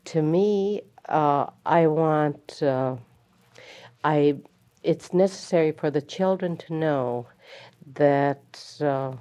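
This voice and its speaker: Slow, deep-voiced woman teacher recalling. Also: mellow. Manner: slow, halting, reflective. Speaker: schoolteacher. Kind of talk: recounting memories in an interview. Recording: dull lo-fi recording.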